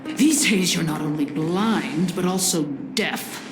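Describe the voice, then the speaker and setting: A woman's voice: fairly low pitch, lively intonation, takes it slowly, echoey room, music in the background. A woman voicing a bitter, sinister assassin conspirator in a video game, taunting with theatrical contempt. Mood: menacing. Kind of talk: dramatic video game voice acting.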